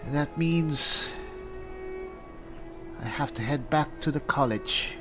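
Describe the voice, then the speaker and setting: A man roleplaying an earnest, worried cleric adventurer in a fantasy game, musing aloud in a halting manner. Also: fairly high pitch. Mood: resolved. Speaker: gamer playing a roleplay. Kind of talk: in-character improvised roleplay narration. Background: music.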